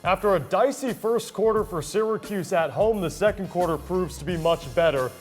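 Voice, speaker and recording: Young high-pitched male sports broadcaster. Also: normal speed, faint music behind. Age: young adult. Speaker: sports broadcaster, play-by-play announcer and anchor. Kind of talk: sports commentary and presenting.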